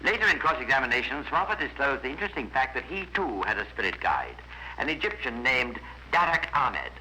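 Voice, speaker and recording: A man's voice: mid-range voice, sing-song, brisk, sharp tone. An actor playing a composed, wry male narrator of a scripted 1950s radio crime drama. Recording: noisy vintage 1950s radio recording.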